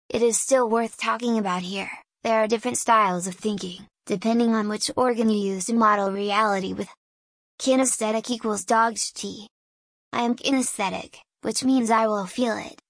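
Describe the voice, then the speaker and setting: A woman's voice: medium pitch, fairly bright tone, no echo. Woman musing aloud, dry sound. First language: Hungarian. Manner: deliberate, philosophical, digressive. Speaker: small business owner. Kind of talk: reflective solo monologue.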